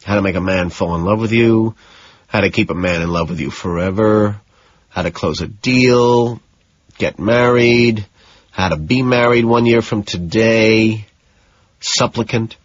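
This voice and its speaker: A man's voice: fairly low pitch, a little slow. A man narrating a novel in the first person as a scripted audiobook. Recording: dull, echoey room sound.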